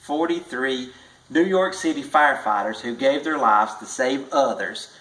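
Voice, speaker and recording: Slow-paced male storybook reader in echoey room. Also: mid-range voice, expressive, fairly bright tone. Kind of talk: read-aloud tall tale narration.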